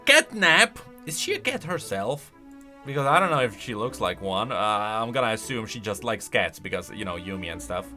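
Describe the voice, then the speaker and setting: Man, bright forceful voice, very lively pitch, casual reaction commentary, enthusiastic, halting delivery, faint background music. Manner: enthusiastic, halting, thinking aloud. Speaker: video host, game lore commentator.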